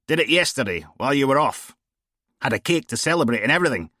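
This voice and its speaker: A man voicing a brash, crude middle-aged woman who runs a police team, loud and mocking. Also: mid-range voice, sing-song, fast, no room sound, studio quality, pitched above the performer's own voice. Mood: scornful.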